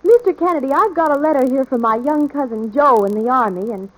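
A woman's voice: sits high, animated, brisk, rough recording. A woman co-hosting a scripted commercial dialogue in 1940s radio style. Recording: old 1940s radio broadcast.

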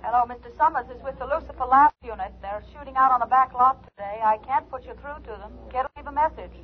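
A woman's voice: high-pitched, fast, slightly rough. An actress playing a businesslike switchboard operator in a 1950s radio drama, clipped and matter-of-fact. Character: brisk, businesslike studio switchboard woman. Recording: muffled old radio broadcast.

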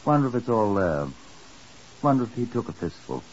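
An actor playing a commanding British police detective in a 1950s radio drama, curt and authoritative. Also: male voice, medium pitch, sing-song, fairly quick, close to an everyday voice. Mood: musing. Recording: muffled, hissy 1950s radio recording.

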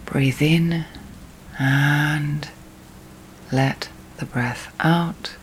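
A woman leads a guided sleep meditation in a soft, breathy, near-whispered voice, soothing, with long pauses between phrases. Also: deep voice, poor audio. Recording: steady storm and rain noise. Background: rain and storm.

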